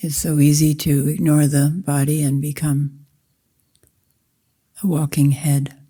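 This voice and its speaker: A woman meditation teacher guiding a practice in slow, deliberate short phrases with long pauses. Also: sits low, flat delivery, dark tone, slightly rough. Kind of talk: guided meditation, slow spoken instruction.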